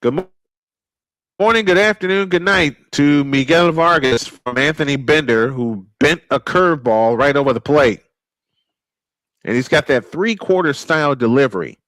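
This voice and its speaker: A man's voice: on the high side, normal speed, fairly bright tone, no room sound, rough recording. A man calling live baseball play-by-play in an old-school broadcast manner, with some wordplay. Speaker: play-by-play announcer.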